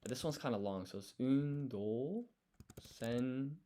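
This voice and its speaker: Casting: male study streamer, mid-pitched voice, slow and thoughtful, casual chat, sounds words out piece by piece. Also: mellow. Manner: thinking aloud, sounding out words syllable by syllable. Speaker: live streamer studying Japanese.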